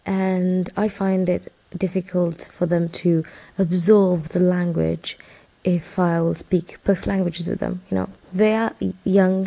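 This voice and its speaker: A woman, answering interview questions in a relaxed, rambling way with fillers. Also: fairly low pitch, gravelly. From Romania. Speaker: interview guest.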